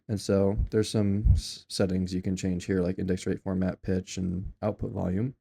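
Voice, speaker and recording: A low, gravelly man talks through a software setup in a loose, rambling way. His voice is mellow and fairly flat, and he keeps stopping to correct himself. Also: moderate pace. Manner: rambling, offhand, with many ums and self-corrections. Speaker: tutorial maker. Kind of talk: casual screen-recorded software tutorial.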